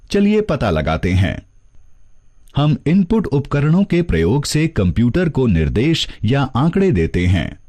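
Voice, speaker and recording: A man reading scripted instructional narration, explaining things step by step in a measured teaching manner. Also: mid-range voice, warm tone, slightly rough. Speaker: narrator of a computer course.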